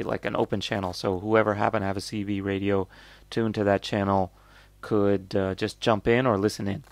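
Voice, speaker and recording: Low-voiced man with creaky delivery. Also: no room sound. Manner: hesitant, thinking aloud, hedging. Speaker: host reviewing crowdfunding projects. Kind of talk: casual off-the-cuff commentary.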